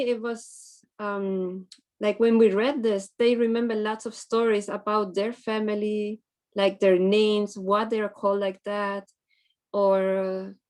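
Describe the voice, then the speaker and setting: A woman's voice: fairly high pitch, a little mellow. A woman presenting at an online teaching conference, speaking informally over a video call and often breaking off and restarting her sentences.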